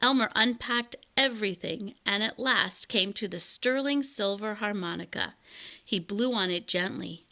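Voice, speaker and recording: A woman reading a children's story aloud as an expressive narrator. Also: mid-range voice. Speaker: children's book reader.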